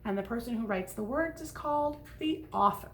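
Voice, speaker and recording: A woman's voice: on the high side, lively intonation, normal speed, slight background noise, poor audio. A woman teacher reading a picture book aloud to young children, explaining things and checking in with questions. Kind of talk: read-aloud storytime with asides to children.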